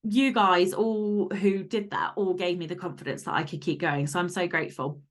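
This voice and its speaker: A woman speaking as a guest author and podcaster in casual interview chat, candid, animated and emphatic. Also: lowish voice, moderate pace.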